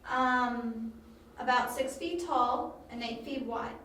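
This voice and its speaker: Woman as cheeky high-voiced young sidekick, distant. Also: slow, fairly bright tone. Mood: eager, recounting. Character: spirited, cheeky young female informant and sidekick. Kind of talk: amateur audio drama acting. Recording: distant, very echoey, faint room noise.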